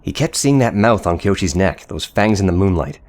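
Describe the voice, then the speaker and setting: A man's voice: low voice, lively intonation, normal speed, darkish tone. A man reading scripted, dramatic audiobook narration.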